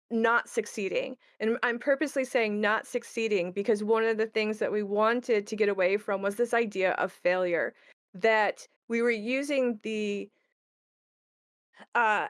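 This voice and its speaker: A woman's voice: fairly high pitch, smooth, no room sound. A woman chats about game design as one of its creators. She thinks aloud and rephrases as she explains, in a conversational way. The sound is dry, with very little room around her. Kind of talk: casual discussion of game design.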